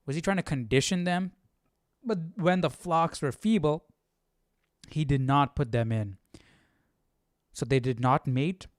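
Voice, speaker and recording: A man hosting a casual live Bible study, reading aloud and thinking out loud in an informal, slangy way. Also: fairly high pitch, measured pace, pauses often, no room sound, studio quality.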